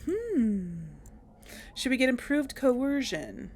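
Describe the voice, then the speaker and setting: A woman's voice: high-pitched, sing-song, slow, darkish tone, a little hiss or hum. A woman hosting a casual game playthrough, talking in a rambling, hesitant way with many fillers and restarts. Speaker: let's play host. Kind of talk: casual gameplay commentary.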